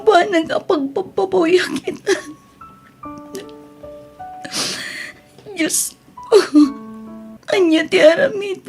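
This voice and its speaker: A high-pitched, anguished wife played by a woman in a radio drama. She talks slowly and haltingly, with a tearful, whispery edge and a guarded manner, over faint music. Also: darkish tone, exaggerated voice. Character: anguished, secretive wife and mother, hiding her troubles. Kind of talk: radio drama acting in Ilocano.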